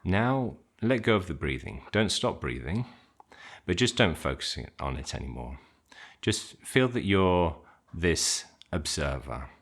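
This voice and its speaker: Very deep-voiced man guiding a slow meditation. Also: very animated, fairly bright tone. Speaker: meditation guide. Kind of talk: guided meditation, unscripted instruction.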